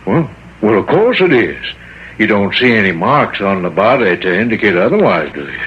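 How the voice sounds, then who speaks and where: Brusque folksy doctor, old radio sound. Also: male voice, fairly low pitch, very animated, moderate pace. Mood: dismissive. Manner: folksy, emphatic, repeats himself. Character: brusque, dismissive small-town doctor brushing off questions. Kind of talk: vintage radio drama acting. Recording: dull vintage radio recording, faint noise.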